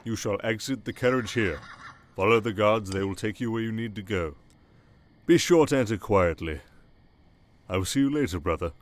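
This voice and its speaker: A man portrays a cold, imposing vampire duke in a fantasy audio drama. His low voice is stern and formal, giving curt commands. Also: moderate pace, pauses often, lightly acted. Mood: curt, commanding.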